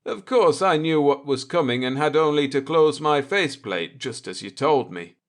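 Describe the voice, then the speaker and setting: A man voicing a coolly vindictive spaceship navigator in an audiobook, deliberate and sardonic as he explains. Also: fairly high pitch, expressive.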